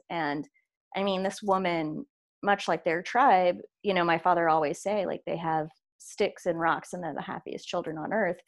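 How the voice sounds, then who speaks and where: A woman in casual conversation, reflective and chatty, trailing off with fillers. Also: low voice.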